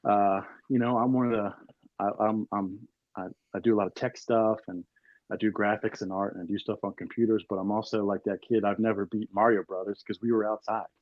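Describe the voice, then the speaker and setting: A man's voice: on the low side, steady tone, brisk, dry recording, phone-quality sound. A man in his forties from Maryland, telling stories casually as a podcast guest, with many fillers and restarts. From Northern Carroll County, Maryland, USA. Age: 40s. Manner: rambling, lots of fillers and restarts. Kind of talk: casual storytelling chat.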